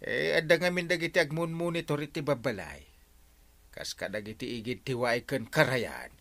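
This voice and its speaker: A man playing an excitable, good-natured village captain who exclaims and stammers in an Ilocano radio comedy, with an Ilocano Filipino accent. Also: mid-range voice, normal speed, natural voice. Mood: matter-of-fact. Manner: swooping exclamations, stammering openers.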